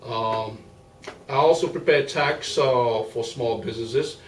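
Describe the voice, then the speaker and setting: A man from Liberia with a Liberian accent, giving a guest interview in a measured, formal way with many fillers. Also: medium pitch. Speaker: guest, accountant and business owner. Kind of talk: interview answers. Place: small makeshift home studio. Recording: roomy, distant mic with faint hiss.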